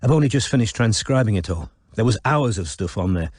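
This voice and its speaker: A man voicing an eager, businesslike woman investigator in an audiobook, brisk and matter-of-fact. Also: on the low side, animated, a little mellow, slightly rough, dry recording, clean studio sound. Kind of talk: audiobook character dialogue.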